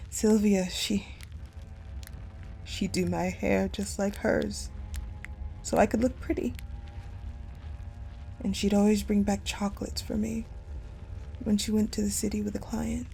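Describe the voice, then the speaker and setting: A haunted, vengeful ice general played by a woman delivers a dark audio roleplay monologue. Her voice is mellow and relaxed in tone, breathy and often close to a whisper. She speaks slowly and haltingly, with faint music behind her. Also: medium pitch, rough recording. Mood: wistful, tender. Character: wounded, vengeful ice-powered woman general recounting her tragic past.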